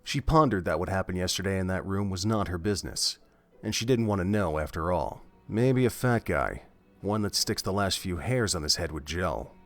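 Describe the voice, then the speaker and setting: A man narrating a fan audio drama in a measured, expressive storytelling manner. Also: sits low, soft background music. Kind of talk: scripted audiobook-style narration.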